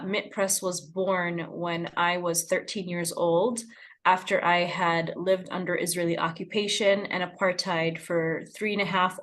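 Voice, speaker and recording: A woman's voice: lowish voice, a bit bright. A woman in her thirties from the United States, giving long earnest interview answers with some fillers. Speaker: guest, news outlet founder.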